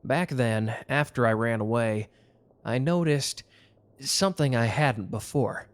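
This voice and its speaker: A devoted mafia lover in a romantic audio drama, played by a man. His voice sits at a middle pitch. He is earnest and intimate, protective of his sweetheart, with a gruff, hot-tempered streak. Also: measured pace, lightly acted.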